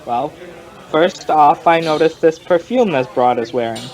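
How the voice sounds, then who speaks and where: Folksy, rambling barfly, higher-pitched man. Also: a little mellow, low-quality recording. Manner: digressive, colloquial, drawn-out storytelling. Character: folksy, rambling male barfly informant telling his story. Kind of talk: old-time radio crime drama acting.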